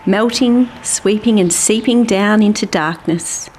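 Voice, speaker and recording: A woman's voice: medium pitch, measured pace, a little mellow, soft background music, rough recording. A woman narrating a scripted children's story as its storyteller. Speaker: author and storyteller.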